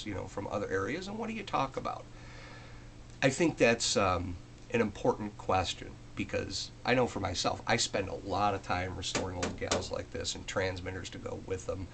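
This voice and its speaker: A man's voice: fairly low pitch, creaky, slight background noise. An American man talking casually and off the cuff as a hobbyist vlogger, conversational and a little self-deprecating. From United States. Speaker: amateur radio hobbyist presenting a video. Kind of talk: casual unscripted vlog talk.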